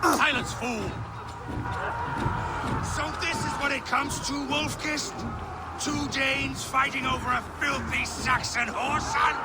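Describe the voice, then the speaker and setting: An actor playing a brutal, scornful, bloodthirsty Dane warlord in a video game, taunting with contempt. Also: male voice, very high voice. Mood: furious, taunting. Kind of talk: video game dramatic acting. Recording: loud music behind.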